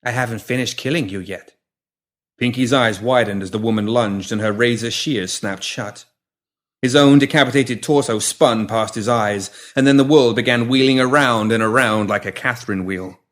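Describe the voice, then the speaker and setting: A man's voice: mid-range voice, moderate pace, a bit bright. A man reading a scripted story as an audiobook narrator, in descriptive prose. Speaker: story reader.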